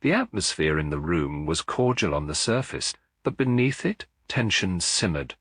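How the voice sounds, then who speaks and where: Deep, husky man narrating a mystery. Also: very animated, normal speed, a bit bright, dry recording, studio quality. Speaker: audiobook narrator. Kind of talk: scripted dramatic mystery narration.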